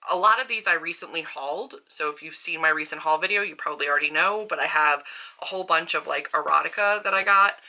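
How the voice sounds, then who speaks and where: A woman with a fairly deep voice and a very bright, forward tone. She talks casually and upbeat, like a vlogger at home, with informal phrasing and little asides. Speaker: book vlogger and author. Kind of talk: casual chatty vlog. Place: indoors at a desk at home.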